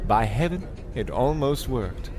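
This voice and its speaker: Man playing pompous singing detective over noisy rumble. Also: expressive, unhurried, close to an everyday voice. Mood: smug. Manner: theatrical, grandiose deductive declarations. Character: pompous male singing detective, melodramatic and absurdly self-assured. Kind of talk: old-time radio comedy acting.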